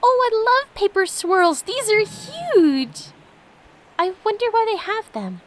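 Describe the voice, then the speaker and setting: Woman voicing a high, wondering little girl. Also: big pitch swings, takes it slowly, full character voice. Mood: delighted. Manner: wondering, exclaiming. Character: curious, innocent, excitable young girl in a storybook game.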